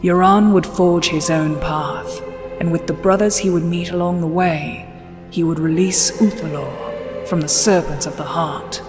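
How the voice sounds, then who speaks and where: A solemn narrator with a medium-pitched voice tells a scripted fantasy legend in formal storytelling style. Music plays clearly behind the voice. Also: fairly even intonation, a little slow.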